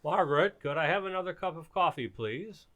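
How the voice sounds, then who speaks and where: A man's voice: a little high, expressive, fairly quick, very noisy, lightly acted. A man playing an earnest, fussy suburban husband and father in an old-time radio sitcom. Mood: concerned.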